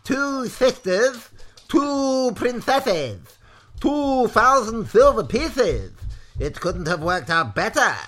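A storyteller voicing an excitable, greedy villain who gloats theatrically and shrieks in a drawn-out way. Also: medium pitch, voice pushed up, exaggerated voice. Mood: gloating, menacing.

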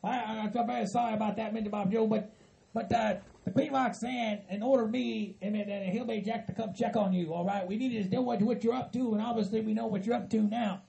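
A man voicing an earnest Southern hillbilly kinsman who stammers, repeats himself and tries to calm others down. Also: high-pitched, a little fast, creaky, poor audio. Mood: apologetic, nervous. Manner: stammering, repetitive, pleading for calm.

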